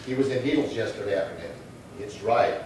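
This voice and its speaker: A man playing a businesslike, deadpan police detective, delivering clipped dialogue and case narration in a 1950s-style radio crime drama. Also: on the low side, a little fast, natural voice. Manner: clipped, deadpan, matter-of-fact. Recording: very echoey room, distant mic, faint noise.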